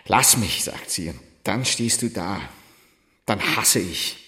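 An actor playing a tormented, self-reproaching husband in a German radio drama, halting and brooding with bitter outbursts. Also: male voice, on the low side, pushed and bright. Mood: drunken, despairing.

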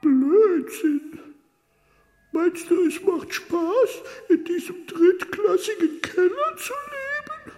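A woman's voice: very high voice, lively intonation, takes it slowly, a little mellow, faint music behind, heavily acted. A woman voicing a whiny, defeated ghost who sulks and pities itself. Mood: sulky. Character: whiny defeated ghost, sulky and self-pitying. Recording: long reverb.

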